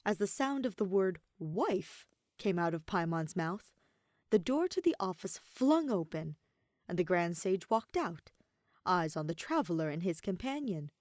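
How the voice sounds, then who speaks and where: Female audiobook-style narrator: mid-range, animated melody, measured phrasing, close dry sound. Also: lowish voice, no echo. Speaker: fan fiction narrator. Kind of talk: scripted story narration.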